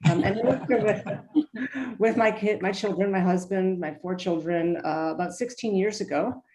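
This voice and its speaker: A middle-aged woman from Michigan, a travel company CEO, giving an earnest, halting introduction to a virtual tour with many fillers and restarts. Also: mid-range voice, dark tone. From Michigan, USA. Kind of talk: casual spoken introduction.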